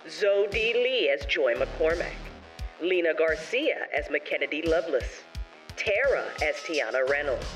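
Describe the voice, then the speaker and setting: Highish-voiced male credits announcer. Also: fairly bright tone, rough recording. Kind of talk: scripted narration.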